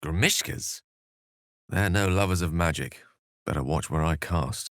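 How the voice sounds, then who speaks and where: A man's voice: sits low, unhurried, a bit bright, dry recording, clean studio sound. An actor playing a wry, scholarly middle-aged wizard in a fantasy video game, articulate and theatrical in his phrasing. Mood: measured, wry. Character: eloquent, wry, scholarly male wizard adventurer.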